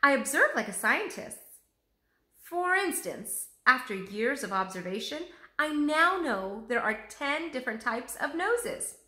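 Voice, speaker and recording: A woman performs an eager male artist from a children's storybook, lecturing with lively swings in pitch. The tone is bright and full of exclamation. The room adds a noticeable echo around the voice. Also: measured pace, exaggerated voice. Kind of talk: children's storybook read-aloud. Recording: roomy, echoing room sound.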